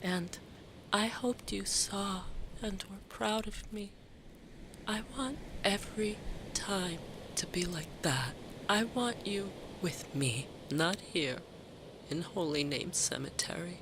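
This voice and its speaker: A woman's voice: mid-range voice, slow pace, noisy background. A woman playing a grieving, self-blaming but determined mother in an audio drama monologue, speaking in a raw, confessional way. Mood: hushed grief. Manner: raw, confessional, swearing, swinging from hushed to intense.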